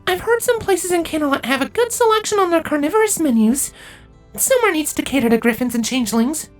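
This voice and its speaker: A woman's voice: high-pitched, expressive, fast talker. A woman acting female cartoon pony and changeling characters in a story. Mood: chatty. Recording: music behind the voice.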